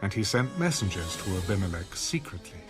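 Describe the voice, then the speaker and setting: A man narrating an audio Bible in a measured, formal scripted style. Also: low voice, big pitch swings, over music.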